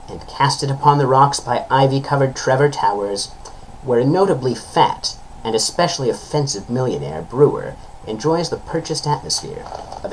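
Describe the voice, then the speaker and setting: A man's voice: medium pitch, a little hiss or hum, rough recording. A man giving a solemn, formal dramatic reading of a literary tale, drawing out his phrase endings. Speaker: narrator, reader of a story.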